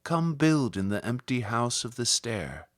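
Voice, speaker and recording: Slow, measured man reciting poetry. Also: medium pitch, very animated, no echo, clean studio sound. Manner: measured, solemn delivery with deliberate phrasing. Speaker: poetry reader.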